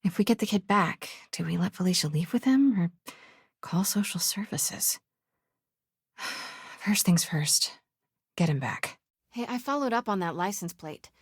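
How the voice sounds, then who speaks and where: A woman voice actress playing a determined young investigative reporter in a video game, with an American accent and a breathy, hushed manner. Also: lowish voice, expressive, a little slow, long pauses, dry recording, studio quality, heavily acted. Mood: quietly businesslike. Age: young adult. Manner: hushed, self-talking, breathy.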